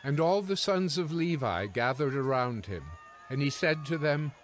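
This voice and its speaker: A man's voice: a little high, expressive, moderate pace, slight background noise. A man narrating a dramatized scripture audio production from a script. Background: music.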